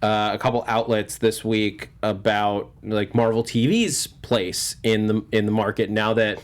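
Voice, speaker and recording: A man's voice: fairly low pitch, fairly even intonation, measured pace. A man hosting a movie news panel show in a casual, informal chat style.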